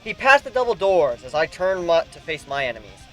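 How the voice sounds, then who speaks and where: A man's voice: fairly high pitch, very animated, brisk, a bit bright, faint music behind, rough recording. A man narrating a first-person story dramatically, as an amateur reader.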